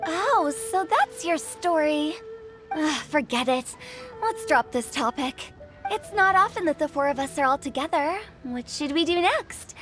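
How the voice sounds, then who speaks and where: A woman's voice: sits high, a little slow, music in the background. An actress playing a bold, nosy, playful teenage girl in a video game, teasing and theatrical. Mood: playful, dismissive. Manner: teasing, inquisitive, expressive. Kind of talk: video game character dialogue.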